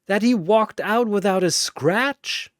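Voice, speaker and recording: A man's voice: high voice, slow pace, dry recording, clean studio sound, pitched above the performer's own voice. A man voicing a tough, stubborn superpowered woman with a resolute manner in a battle story. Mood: incredulous.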